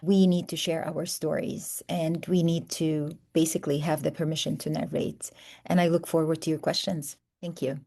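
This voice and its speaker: Author in her fifties, raised in Saudi Arabia; low, warm voice, earnest and steady. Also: normal speed, creaky. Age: 50s. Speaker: contributing author. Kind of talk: personal introduction on a panel.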